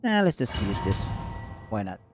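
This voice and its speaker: A man's voice: lowish voice, sing-song, moderate pace. Casual streamer man muttering over gameplay. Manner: casual, offhand, muttering to himself. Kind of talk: casual live commentary while playing. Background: game music.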